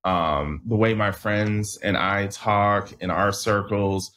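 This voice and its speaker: Guest author, young man, deep voice, laid-back, restarts often, from Michigan. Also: takes it slowly. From southeastern Michigan. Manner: relaxed, restarts often, laughs at himself. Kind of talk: casual interview talk.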